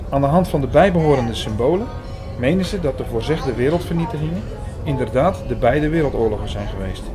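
A man playing an earnest first-person narrator in an audio drama, speaking in a measured, formal, bookish way. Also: medium pitch, natural voice. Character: Hugo, earnest male first-person narrator reading out prophecy.

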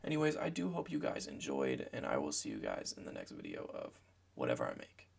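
A young man with a gravelly voice, hoarse from a cold, giving casual football draft commentary from a small room at home, rambling with lots of fillers. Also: rumbling low, wildly swooping pitch, fairly quick. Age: young adult. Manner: meandering, filler-heavy, self-correcting.

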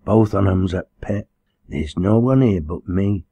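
A man's voice: deep voice, measured pace, warm tone, breathy edge, no echo, full character voice. A man voicing a frightened, weak young working-class mother in an audiobook, faltering and aggrieved in a Lancashire accent. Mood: nervous, faltering. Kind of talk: audiobook character dialogue.

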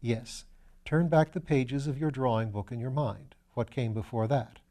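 A man voices a gentleman sleuth in a storybook. He is calm and courteous, coaxing answers with measured questions. The tone is dark and relaxed, at a medium pitch. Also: close to an everyday voice. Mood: calm, probing. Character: calm, courteous gentleman detective, patiently probing.

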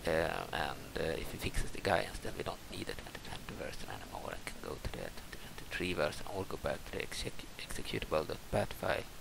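A man with a Swedish accent giving casual, unscripted game commentary in a halting, hesitant way with many pauses. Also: rumbling low, sing-song, creaky, noisy background. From Sweden, adopted from Brazil. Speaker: game modder and video maker. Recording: music behind the voice. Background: music.

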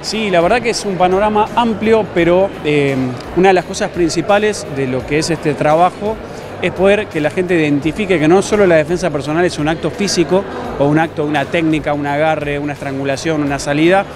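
A man's voice: fairly high pitch, fairly quick, background noise. A man in his thirties with an Argentine accent, a self-defense instructor giving spontaneous, explanatory interview answers into a handheld microphone in a gym. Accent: Argentine Spanish. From La Plata, Argentina. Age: 30s. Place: large busy gym hall, indoors. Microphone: handheld reporter microphone.

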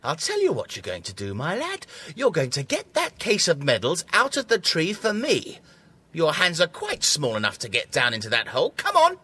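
An actor with a British accent playing a big, bullying, menacing thief who barks orders in a children's audio drama. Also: male voice, fairly high pitch, huge pitch swings, normal speed, pushed and bright, breathy edge, heavily acted. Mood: angry, bullying. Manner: domineering, barking orders. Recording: faintly noisy cassette audio drama.

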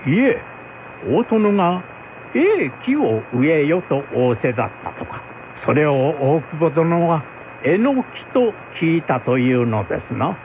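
A man's voice: on the high side, big pitch swings, a little slow. A man voicing a respectful, well-informed samurai retainer who explains in formal old-fashioned speech in a folk tale drama. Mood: deferential. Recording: phone-like band with faint noise.

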